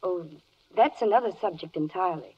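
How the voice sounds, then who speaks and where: A woman's voice: lowish voice, brisk, slightly rough. An actress playing a poised, charming spokeswoman reciting lyrical verse in a 1940s radio play. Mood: wry, reciting. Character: poised, charming female spokeswoman for animal kingdom. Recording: muffled 1940s radio broadcast.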